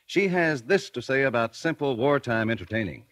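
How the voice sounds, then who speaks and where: Lively male radio pitchman. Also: normal speed, dry recording. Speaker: sponsor's announcer. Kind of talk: 1940s radio advertising read. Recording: 1940s radio recording.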